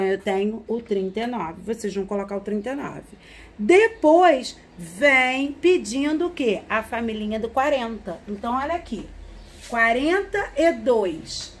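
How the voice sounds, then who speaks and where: Female first-grade teacher, 40s, slightly high voice, patient sing-song counting, recorded on a nearby phone in a small classroom. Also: slight background noise. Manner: slow, sing-song counting, repeating each number for children. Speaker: primary school teacher. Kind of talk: remote lesson for young children. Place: classroom with wall charts, indoors.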